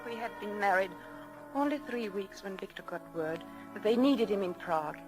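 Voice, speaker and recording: An actress playing an anguished young wife torn between two loves, pleading and hesitant, in a 1940s radio drama. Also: female voice, medium pitch, expressive, moderate pace, loud music behind the voice, lightly acted. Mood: tearful confession. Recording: old 1940s radio broadcast.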